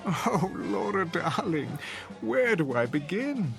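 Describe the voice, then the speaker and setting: A bewildered older husband in an audio drama. He speaks slowly and earnestly, with pleading swings of pitch. Music plays behind him. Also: very animated, creaky, heavily acted.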